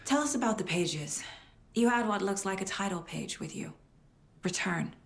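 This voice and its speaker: A woman's voice: medium pitch, animated, normal speed, gravelly. An actress playing a composed, serious investigator and mother in a naturalistic video game drama. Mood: composed, probing. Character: composed professional female investigator and caring working mother.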